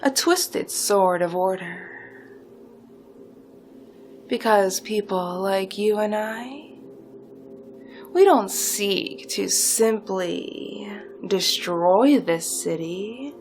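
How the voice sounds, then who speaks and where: A woman playing a seductive, smugly delighted supervillain recruiter in a theatrical hypnosis roleplay, with deliberate phrasing that often dips toward whispers. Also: medium pitch, slight background noise. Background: music.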